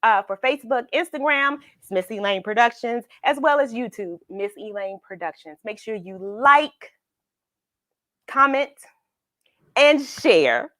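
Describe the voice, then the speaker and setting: A woman's voice: fairly high pitch, animated, a little slow, pushed and bright, no room sound, clean studio sound. A woman hosting a children's read-along, chatting informally in a playful, affectionate, encouraging way and repeating phrases for emphasis.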